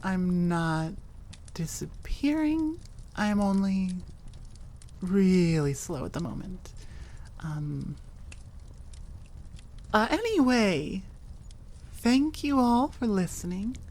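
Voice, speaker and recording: A woman's voice: mid-range voice, big pitch swings, slow pace, long pauses, a little mellow. A woman hosting her own audio channel, chatting casually in an outro and often correcting herself. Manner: rambling, self-correcting, with frequent fillers. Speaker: audio creator and host.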